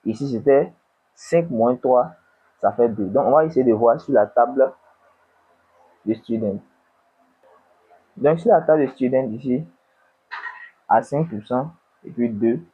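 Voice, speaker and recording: A man with a cold, tutoring an econometrics exercise and dictating numbers slowly while asking himself questions. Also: mid-range voice, slightly rough, phone-quality sound. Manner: slow, dictating numbers, asks and answers himself. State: has a cold. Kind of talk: screen-recorded worked exercise.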